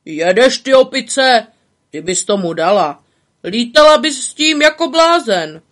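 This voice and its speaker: Bossy, show-off little male bug from a storybook, swinging pitch; woman reader doing it. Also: animated, fairly bright tone. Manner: brash, teasing, showy.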